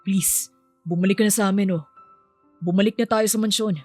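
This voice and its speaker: A woman's voice: medium pitch, steady tone, a little fast, warm tone, dry recording, faint music behind, close to an everyday voice. A woman voicing a devoted rich young man in love, earnest and insistent, in an audio romance. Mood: apologetic, pleading.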